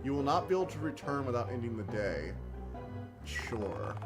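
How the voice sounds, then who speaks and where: A man streaming a video game, chatting excitably and reading the game text aloud, laughing often, with a slightly whiny voice. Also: medium pitch, normal speed. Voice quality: self-described whiny voice. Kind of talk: casual let's-play commentary, reading game text aloud. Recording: game music behind.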